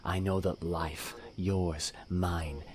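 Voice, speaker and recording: Creepy deranged killer, really low n breathy, brooding audio drama monologue, noisy recording. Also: male voice, level tone. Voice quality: low, breathy, often dropping to whisper. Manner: slow, brooding monologue in clipped phrases. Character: deranged male serial killer, nihilistic, menacing, confessional.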